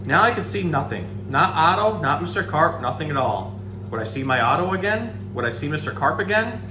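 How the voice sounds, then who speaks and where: A man's voice: fairly high pitch, brisk. A man reading a children's story aloud expressively, breaking into casual asides to a pet. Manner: expressive, acts out the dialogue. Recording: echoey room, background hum.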